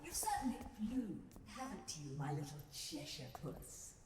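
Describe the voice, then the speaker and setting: A woman's voice: deep voice, sing-song, a little slow, heavily acted. A woman playing a sultry, self-assured witch in a video game, coy, mocking and condescending. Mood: coy teasing. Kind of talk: theatrical video game voice acting. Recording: echoey game audio with clear noise.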